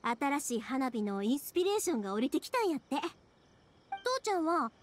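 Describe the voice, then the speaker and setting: High, lively Kansai young craftswoman. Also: normal speed, a little hiss or hum. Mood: earnest, hopeful. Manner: peppy, animated, talkative. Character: cheerful, chatty young woman fireworks craftswoman, warm-hearted dreamer. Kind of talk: Japanese anime video game voice acting. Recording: background music behind dialogue. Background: game soundtrack music.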